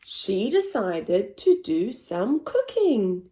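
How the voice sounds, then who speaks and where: A woman hosting a children's story time, reading aloud in an animated, expressive, theatrical way for very young listeners. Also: medium pitch, very slow. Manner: expressive, theatrical, with gasps and whispers. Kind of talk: animated read-aloud for toddlers.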